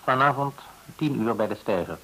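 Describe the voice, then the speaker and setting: Shady boss, cool, curt, controlled, middle register, radio play. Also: male voice, medium pitch, animated, moderate pace, sharp tone, slight background noise, rough recording. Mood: cool, commanding. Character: cool, probing male boss of shady dealings.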